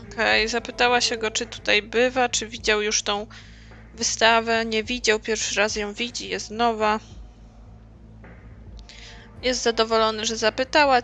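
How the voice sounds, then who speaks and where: A woman commenting casually on a game she is playing. Her voice is fairly high and bright, with noticeable creak. Faint noise and game ambience sit behind her. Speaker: gaming let's play host. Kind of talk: casual gameplay commentary, summarizing dialogue.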